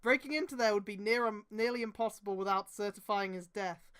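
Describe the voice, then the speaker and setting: A woman's voice: mid-range voice, a little fast, clear, resonant tone. A woman streamer reading fan fiction aloud, with casual, profane, exasperated asides and a mocking dramatic delivery. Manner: exasperated outbursts, often breaking into laughter and shouting.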